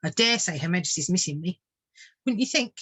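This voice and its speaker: A woman's voice: on the low side, lively intonation, brisk, sharp tone, breathy edge, exaggerated voice. A woman voicing an eager, naive male bird in a children's storybook, cheerful, polite and innocent. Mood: innocent, chatty.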